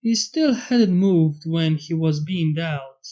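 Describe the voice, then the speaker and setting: A man's voice: a little high, animated, slow pace, mellow. A young adult man narrating a fan story as its reader. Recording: dull lo-fi with background music. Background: faint music.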